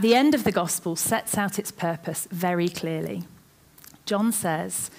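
A woman giving a sermon in church into a microphone, opening with a chatty, self-deprecating story. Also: fairly low pitch. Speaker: preacher.

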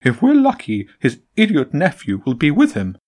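A man voicing a scheming male pigeon leader who speaks in a high, jolly-sounding voice that turns menacing. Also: wildly swooping pitch, normal speed, darkish tone.

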